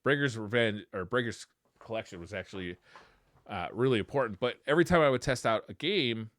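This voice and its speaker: Guy co-hosting a gaming podcast, bright forward voice, really dry close sound. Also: medium pitch, fairly quick, dry recording. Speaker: podcast co-host. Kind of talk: casual retro gaming podcast chat.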